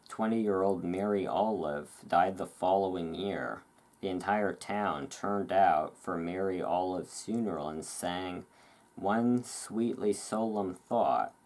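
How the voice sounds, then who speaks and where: A host giving a relaxing ASMR reading of a historical article, with relaxed, informal delivery. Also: low voice.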